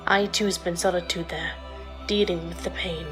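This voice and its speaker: A woman's voice: lowish voice, steady tone, normal speed, fairly bright tone, soft background music, natural voice. A woman playing a solemn, once-banished pony princess with a formal manner in a fan audio drama. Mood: sorrowful.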